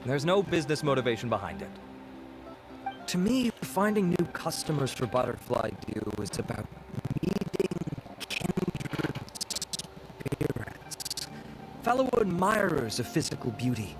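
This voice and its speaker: Suave, animated gentleman researcher. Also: a little high, unhurried, loud music behind the voice, rough recording. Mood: gracious. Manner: polite, formal, suave, self-assured. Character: courteous, vain male beauty researcher and businessman. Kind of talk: anime game voice acting.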